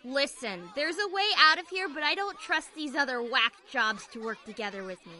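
A woman's voice: high-pitched, expressive, normal speed, pushed and bright, full character voice. A woman playing a sly, scheming young girl with a snide, dry manner in cartoon style. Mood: snarky. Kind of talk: animated cartoon acting.

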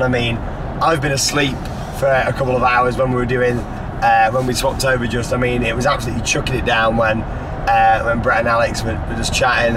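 A young man hosting a travel vlog from inside a car, chatting casually and upbeat with plenty of fillers. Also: mid-range voice. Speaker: vlog host. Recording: car and road noise behind.

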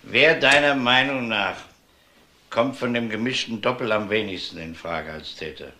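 Blunt, low-voiced police detective. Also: male voice, normal speed, sharp tone, slight background noise. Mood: probing. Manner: probing, matter-of-fact. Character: male police detective, probing and blunt interrogator. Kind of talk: German TV-crime style radio drama acting.

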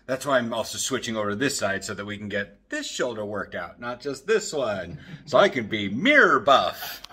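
A man's voice: fairly low pitch, very animated, normal speed, bright, forceful tone, breathy edge. A man in his thirties rambling casually with jokey asides, as in a vlog, recorded at arm's length in an apartment. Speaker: vlogger. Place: apartment living room and open kitchen. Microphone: handheld camera at arm's length.

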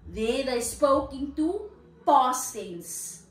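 Woman, 30s, high and bright, incredulous questioning, distant echoey room. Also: animated, extremely slow. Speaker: co-host of a couple's channel. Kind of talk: casual argument on a couch. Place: living room, sitting on a sofa. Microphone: far camera.

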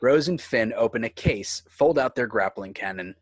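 A man's voice: mid-range voice, animated, moderate pace, slightly rough, dry recording. Male narrator, close dry sound. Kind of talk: scripted screenplay narration. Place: indoors on a home video call.

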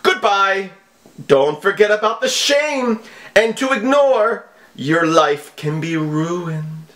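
A man voicing a menacing, over-dramatic evil hacker villain in a made-up foreign accent, slow and theatrical. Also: sits high, expressive, fairly bright tone, pitched above the performer's own voice, exaggerated voice. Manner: slow, theatrical, deliberate delivery with broken English.

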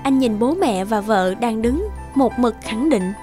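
A woman narrating a scripted fairy tale for a children's cartoon in a measured storytelling manner. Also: a little high, animated, mellow. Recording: music clearly behind the voice.

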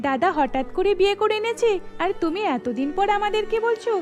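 A pouting young cartoon sister, played by a woman, with an extremely high voice. She is excitable, animated and chiding, with music underneath. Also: a little fast. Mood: teasing, chiding. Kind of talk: melodramatic cartoon dubbing.

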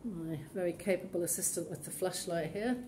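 A woman's voice: lowish voice, fairly quick, mellow, a little hiss or hum. A woman estate agent walking a camera through an empty townhouse and pointing out its features in a practical, matter-of-fact way, filmed on a handheld phone. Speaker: estate agent giving a property tour. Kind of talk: walkthrough tour commentary. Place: empty, run-down townhouse indoors. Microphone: phone camera held while walking. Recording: echoey bare rooms, faint ambience.

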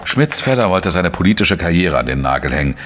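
An actor playing a dry, probing investigator who narrates a crime radio drama in a measured way. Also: male voice, sits low, big pitch swings, background noise. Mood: measured narrating. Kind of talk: scripted first-person crime narration and dialogue.

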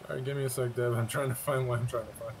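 Late-thirties male gamer, rapid offhand talk, noisy voice-chat line. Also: mid-range voice, steady tone. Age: 30s. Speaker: fellow player. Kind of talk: casual gaming chat over voice comms.

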